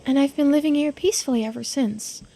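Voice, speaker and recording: A woman's voice: sits high, fast talker, creaky, warm tone, soft background music. A woman voicing a girlish, earnest and resigned immortal character in a visual novel. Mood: distressed recollection.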